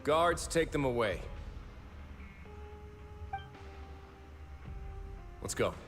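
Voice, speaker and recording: A male actor playing a composed, authoritative prison warden in a video game, speaking in a measured, formal manner. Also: medium pitch, expressive, a bit bright, close to an everyday voice. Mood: stern. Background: game music.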